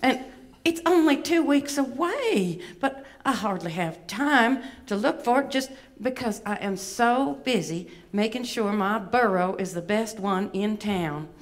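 A woman's voice: fairly high pitch, animated, measured pace. This is a woman acting out a puppet wombat who fancies herself a Southern belle socialite. She has a Southern US accent and talks dramatically, with fussy self-importance and folksy endearments, as in a children's puppet show. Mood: fussy, boastful.

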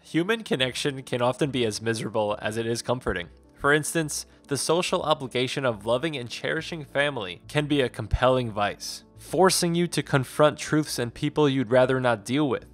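A man's voice: medium pitch, expressive, fairly quick, hardly any pauses. Male game reviewer over background music. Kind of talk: scripted review narration.

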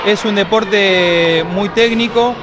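A middle-aged man with an Argentine accent explaining a gymnastics program in measured answers with many "eh" fillers, speaking into a handheld mic in an indoor gym. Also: high-pitched. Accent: Argentine Spanish. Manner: measured, with frequent hesitant fillers. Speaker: gymnastics school coordinator. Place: indoor gymnastics hall with children. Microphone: handheld mic held by interviewer. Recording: loud crowd and noise behind.

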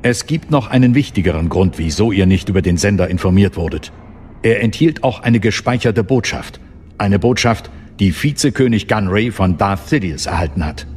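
Man playing a low-voiced, composed warrior monk. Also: lively intonation, moderate pace, darkish tone, slight background noise, lightly acted. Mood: serious. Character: serious, composed male warrior-monk master. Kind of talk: dramatic radio play acting.

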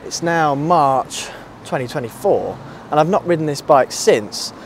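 A man's voice: fairly high pitch, expressive, unhurried. A British man in his thirties chatting casually to camera as a vlog host, musing in an easygoing, enthusiastic way. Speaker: motorcycle reviewer, channel host. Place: outdoors at a table outside a country pub. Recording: clear outdoor ambient and vehicle noise.

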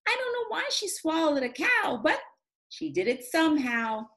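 A woman in her forties teaching young children in an expressive, encouraging, sing-song way, as in a read-aloud and craft lesson. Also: high voice, normal speed, a little hiss or hum. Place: small indoor home classroom. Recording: roomy, echoey home room sound.

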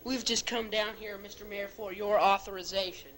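A woman's voice: mid-range voice, fairly even intonation, normal speed, a bit bright, lightly acted. A woman playing a star police officer, impatient and matter-of-fact, in an amateur audio drama. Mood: businesslike. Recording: lo-fi home tape with noisy crowd.

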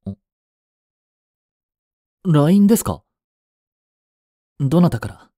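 Man playing a mellow, possessive young butler. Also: on the high side, very animated, slow, no echo, studio quality, full character voice. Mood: gentle, attentive. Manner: formal, polite to his mistress, curt to rivals. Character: cool young male butler, devoted, jealous, possessive. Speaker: voice actor. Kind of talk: Japanese romantic audio drama acting.

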